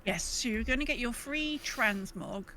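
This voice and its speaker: A woman's voice: a little high, moderate pace, bright, forceful tone, a little hiss or hum. A woman co-hosting a podcast in a casual, chatty way. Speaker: podcast co-host. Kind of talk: casual gaming podcast chat.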